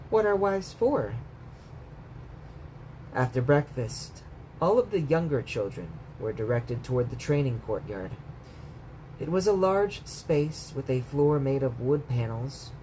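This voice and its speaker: A man's voice: mid-range voice, mellow, low-quality recording. A man reading a bedtime story aloud as a storyteller, in scripted narration. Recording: clear background noise.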